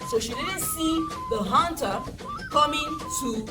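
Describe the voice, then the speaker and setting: Loud music plays around a slightly distant voice. A Nigerian woman tells a folktale to children in a high, swooping voice. Her delivery is dramatic and interactive, like an educator drawing young listeners in. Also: measured pace. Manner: animated, dramatic, asks listeners questions.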